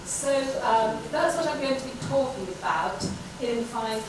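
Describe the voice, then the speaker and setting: A woman's voice: on the high side, animated, unhurried. A woman giving a plenary conference talk in a chatty, self-deprecating way. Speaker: plenary speaker, journal editor. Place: large conference hall. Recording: echoey hall from far off, noisy.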